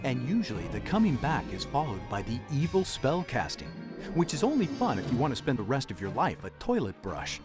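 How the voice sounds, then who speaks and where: An actor narrating a comic fairy-tale video game as a wry, theatrical storyteller with comic asides. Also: male voice, mid-range voice, huge pitch swings, brisk, music in the background. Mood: wry, dramatic.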